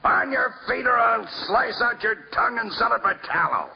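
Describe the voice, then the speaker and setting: A man's voice: extremely high pitch, animated, moderate pace, creaky, exaggerated voice. A man playing a bullying innkeeper who bawls coarse threats, in the manner of 1950s radio drama. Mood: bawling anger. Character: bullying, bellowing innkeeper of ancient Bethlehem. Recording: dull, noisy old radio recording.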